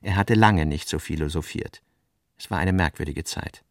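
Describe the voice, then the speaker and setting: A man narrating a literary radio drama in a measured, sober manner. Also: a bass voice, no echo, clean studio sound.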